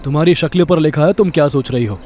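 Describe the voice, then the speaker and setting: A man's voice: fairly high pitch, fast talker, a little mellow, faint music behind, lightly acted. A man playing a stern, guarded but secretly caring young man in an audio drama, speaking in a restrained, matter-of-fact way. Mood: calm, curt. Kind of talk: Hindi audio horror drama acting.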